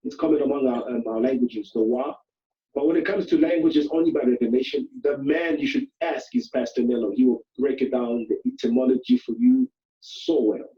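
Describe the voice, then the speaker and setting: A man's voice: mid-range voice, sing-song, fairly quick. A man answering questions in a casual spiritual talk, who often says "you know" and "hallelujah". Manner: warm, repeats "you know", exclamations like hallelujah. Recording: echoey, dull lo-fi call audio.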